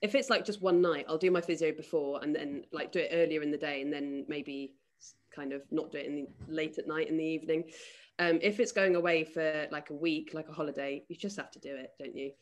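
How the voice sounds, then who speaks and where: A woman's voice: deep voice, steady tone, fairly quick. A woman in her late twenties from Wales, hosting a casual group chat on a video call. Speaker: host and charity chair.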